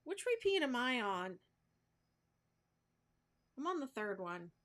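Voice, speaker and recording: A woman's voice: a little high, very animated, slow, a bit bright. A woman chatting casually and informally, thinking aloud in a self-deprecating way as she hosts a crafting livestream. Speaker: crochet livestream host. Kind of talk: casual rambling chat with viewers while crafting.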